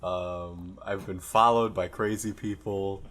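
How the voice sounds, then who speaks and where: A young man from Canada with a fairly low voice, chatting on a podcast. He jokes a lot, takes the contrary side, and laughs as he talks. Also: fairly even intonation, slow, fairly bright tone. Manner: joking, contrarian, laughs while talking. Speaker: podcast co-host. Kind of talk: casual chat.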